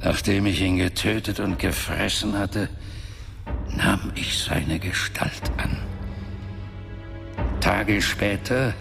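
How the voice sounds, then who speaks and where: An actor playing a proud, lovelorn demon warlord who confesses his past in a brooding, measured radio drama monologue. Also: sits low, creaky, heavily acted. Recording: music under the voice.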